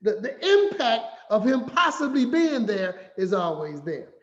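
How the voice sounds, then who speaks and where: A man giving a finance talk as an animated, playful storyteller who acts out quotes. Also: sits high, a little slow. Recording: echoey room, slightly dull sound.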